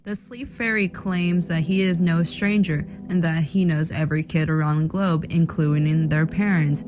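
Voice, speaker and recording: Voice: mid-range voice, steady tone, moderate pace. A woman giving an informal, explanatory commentary in the style of a horror film breakdown. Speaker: video commentator. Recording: loud music under the voice.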